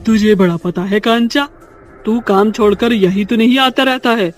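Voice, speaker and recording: Man playing a high-pitched scheming witch minion. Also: normal speed, faint music behind, lightly acted. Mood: cheeky. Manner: deferential to the boss. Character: scheming, obsequious female witch henchwoman.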